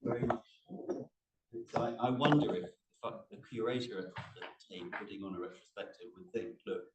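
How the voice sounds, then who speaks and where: A man in the audience asking a reflective question, speaking quietly. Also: fairly low pitch, moderate pace. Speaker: audience member. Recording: distant, echoey room with faint noise.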